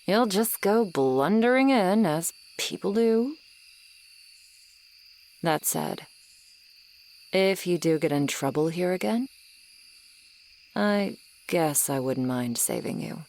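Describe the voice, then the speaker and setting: Woman playing a slow, low-voiced tsundere monster girl. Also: lively intonation. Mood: teasing, aloof. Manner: slow, sardonic, haughty but softening. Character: tsundere swamp monster girl, ageless, grudgingly kind, aloof. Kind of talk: ASMR roleplay acting, addressed to the listener. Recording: night swamp ambience with insects behind. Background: crickets and insect ambience.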